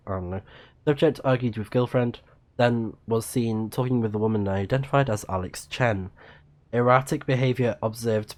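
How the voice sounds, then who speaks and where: Male let's player, low register, casual, halting read-alouds and mumbled reactions. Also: darkish tone. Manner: muttering reactions, halting when reading aloud. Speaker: video game livestreamer. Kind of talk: casual let's play commentary, reading on-screen text aloud.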